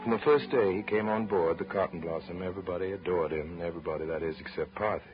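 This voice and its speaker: A man's voice: sits low, quick pace, lightly acted. A man narrating a 1930s radio drama from a script in a lyrical storytelling manner. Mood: fond, confiding. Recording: muffled 1930s radio, music behind.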